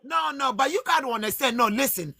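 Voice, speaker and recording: Woman on a call, rough gravelly voice, bright and edgy, gets emotional and stumbles over words. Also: high-pitched, lively intonation, a little fast. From Ghana. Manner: emotional, pleading, stammering. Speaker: guest. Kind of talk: heated online live chat.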